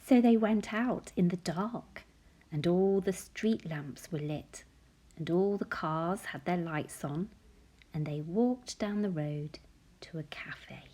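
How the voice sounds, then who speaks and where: A woman reading a picture book aloud to young children, expressive and often putting questions straight to her listeners. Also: fairly low pitch, slow pace.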